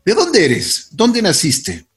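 A man's voice: high-pitched, wildly swooping pitch, slow pace, fairly bright tone, slightly rough, soft background music. A man hosting an interview, introducing his guest with effusive praise and repeated phrases. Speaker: host.

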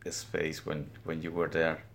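Slow, deep-voiced older Guatemalan man, reflective. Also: monotone, creaky, slight background noise. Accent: Guatemalan Spanish. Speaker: weaver, interview guest. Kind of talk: interview, recounting his life. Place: weaving studio, indoors.

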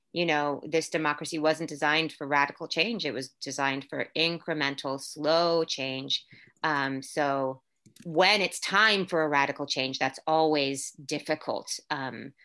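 A woman's voice: low voice, sharp tone. A young adult woman from Puerto Rico, raised in Florida, talking casually as a guest with many fillers and restarts. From Puerto Rico, raised in Orlando, Florida. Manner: chatty, lots of fillers and restarts. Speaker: guest, actor. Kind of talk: casual conversation.

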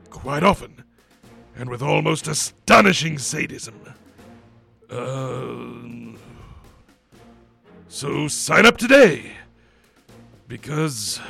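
A blustering political ad spokesman acted by a man for a comic radio play, with a heavily gravelled, rough-edged voice. He declaims with indignant fervor, forceful and bombastic, over faint music. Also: fairly high pitch, heavily acted. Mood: awkward salesmanship. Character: blustering, fervent rabbit gun-lobby-style advertisement spokesman.

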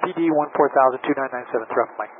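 Man, pilot, clipped readbacks, monotone, narrowband radio, background noise. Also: medium pitch, racing. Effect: radio transmission. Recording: noisy, tinny cockpit radio.

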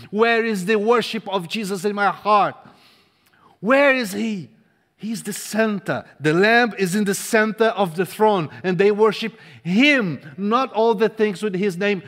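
Portuguese-speaking man preaching in a reverberant hall. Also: high-pitched. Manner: impassioned, swings from loud to hushed, repeats words for emphasis. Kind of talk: sermon. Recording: long reverb of a large hall.

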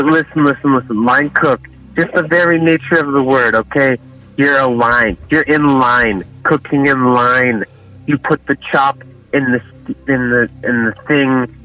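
A man's voice: medium pitch, a bit bright, muffled recording. A man voicing a confused radio caller who rambles and stumbles over his words. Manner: rambling, bumbling. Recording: distant mic, music behind.

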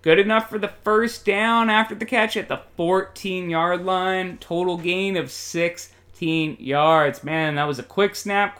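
A man's voice: fairly high pitch, a bit bright. A man doing play-by-play football commentary in a colloquial, jokey, exclamatory style. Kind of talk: excited sports commentary.

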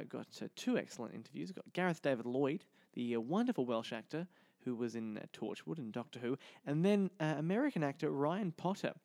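A man's voice: medium pitch, darkish tone, dry recording, clean studio sound. A man presenting and interviewing as a podcast host.

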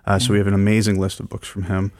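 A man co-hosting a radio show, explaining events informally and enthusiastically, with hesitant pauses and repeated words. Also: low voice, monotone, no echo. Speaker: radio co-host and festival programmer.

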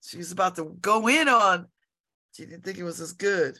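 A woman's voice: lowish voice, moderate pace, sharp tone. A congested woman hosting local radio, chatting and rambling with exclamations. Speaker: radio host. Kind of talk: casual solo talk on local radio.

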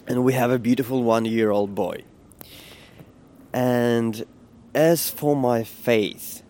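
A man's voice: medium pitch, darkish tone. A Ukrainian man in his late twenties hosting a podcast, talking candidly while he walks to work outdoors, with fillers and restarts. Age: 20s. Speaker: podcast host. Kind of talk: casual walking monologue. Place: outdoors on a city street, walking.

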